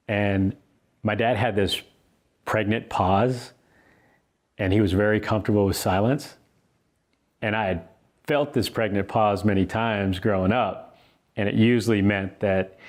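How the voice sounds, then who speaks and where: A middle-aged man reading his own book, telling a personal story in an earnest, conversational way with thoughtful pauses. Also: fairly low pitch.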